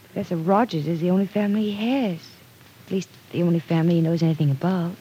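An actress playing a soft-hearted, earnest sister in a 1940s radio drama, pleading and protesting. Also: female voice, lowish voice, quick pace, a little hiss or hum, close to an everyday voice, low-quality recording. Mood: troubled, pleading.

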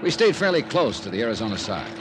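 Guy doing old radio detective hero, brisk and shrewd, voice swings around a lot, noisy vintage recording. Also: mid-range voice, very animated, close to an everyday voice. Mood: crisp, narrating. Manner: crisp, clipped, businesslike delivery. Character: brisk, shrewd male freelance insurance investigator hero. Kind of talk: 1950s radio drama acting and narration.

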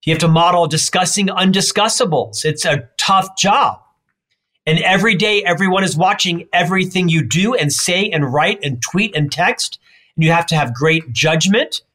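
Guy with a stutter, kinda high voice, punchy, lists stuff off fast in an interview. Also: sharp tone. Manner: emphatic, listing points in quick runs. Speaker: leadership expert guest. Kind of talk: interview answers.

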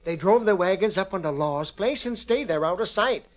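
A man's voice: sits high, expressive, normal speed. An actor playing a timid, deferential underling reporting to his boss in an old western radio drama. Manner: deferential, formal. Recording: muffled old radio recording.

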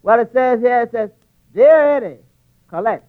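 A muffled old radio recording of a wisecracking tavern waiter with a very high-pitched voice. He is long-suffering and dry, stammering over repeated words as he lands his quips in 1940s comedy style. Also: lively intonation, normal speed. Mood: amused, chatty.

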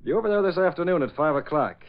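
An actor playing a dry, wry newspaper boss with a sly, understated manner in an old radio drama. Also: male voice, a little high, expressive, quick pace, close to an everyday voice. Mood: wry, businesslike. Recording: dull old radio recording.

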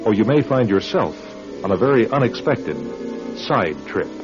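Male radio drama host: lowish, expressive, measured announcer reading over music. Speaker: host and narrator. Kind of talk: scripted radio drama narration. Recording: dull radio recording, music behind.

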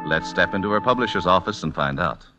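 A man's voice: sits low, expressive, fast talker. Vintage male radio announcer: dramatic, scripted, swooping delivery over music. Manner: formal, dramatic showmanship.

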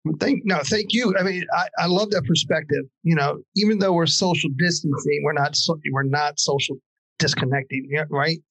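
A man's voice: on the high side, steady tone, moderate pace, a bit bright, no echo, low-quality recording. A male podcast host asking questions in a loose, casual way, full of "like" and "you know". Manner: loose, filler-heavy, lots of "like" and "you know". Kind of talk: casual interview chat.

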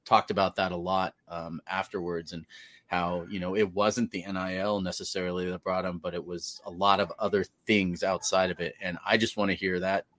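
Low-voiced rambling man in his forties. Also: flat delivery, a little fast, fairly bright tone. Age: 40s. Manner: loose, rambling with many ums. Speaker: podcast co-host. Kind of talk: casual sports podcast chat.

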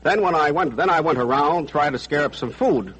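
Husky, higher-voiced seaman. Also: animated, fairly quick, a bit bright, rough recording. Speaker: merchant marine chief steward. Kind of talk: scripted 1940s radio interview answers.

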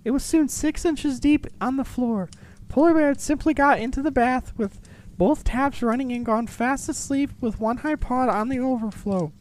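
A man voicing a busy little elf secretary, chatty and earnest, in a put-on high voice for a storybook letter. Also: moderate pace, a little mellow. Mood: earnest, excited.

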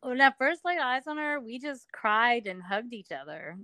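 A woman's voice: fairly high pitch, big pitch swings, moderate pace, bright, forceful tone, dry recording, studio quality. A middle-aged woman from Alabama, telling her story as a guest in a casual interview, chatty with many fillers.

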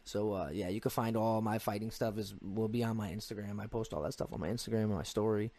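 A man's voice: on the low side, fairly even intonation, quick pace, darkish tone. A man in his twenties speaking as a podcast guest in a casual, slangy and earnest way, repeating short phrases for emphasis. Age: 20s. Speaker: podcast guest, amateur MMA fighter. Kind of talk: casual chat.

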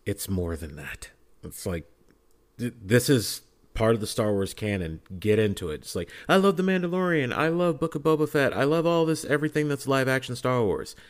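A man's voice: mid-range voice, animated, normal speed. A man in a casual podcast fan discussion, speaking fervently and emphatically as he urges his points on listeners. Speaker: podcast panelist.

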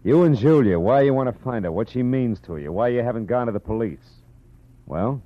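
An actor playing a dry, wisecracking private detective who also narrates, in 1940s radio acting. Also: male voice, medium pitch, lively intonation, a little fast, close to an everyday voice. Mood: wry. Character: hard-boiled male private eye, dry and wry. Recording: tinny old radio broadcast with music.

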